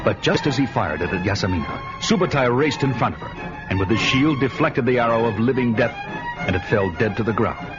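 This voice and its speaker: A man's voice: mid-range voice, animated, a little fast, lightly acted. An actor playing a grim, battle-hardened barbarian hero who narrates his own story in the first person. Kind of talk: radio drama narration. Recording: muffled audio under loud music.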